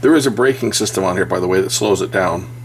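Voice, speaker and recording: A middle-aged man giving a casual, unscripted hands-on repair commentary, thinking aloud with pauses as he works. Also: low-pitched, slight background noise. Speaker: electronics repair hobbyist.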